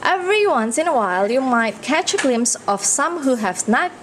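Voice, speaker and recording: Female children's read-aloud storyteller, higher voice, unhurried expressive delivery, slight background noise in the recording. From Indonesia. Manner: expressive, pausing to ask listeners questions.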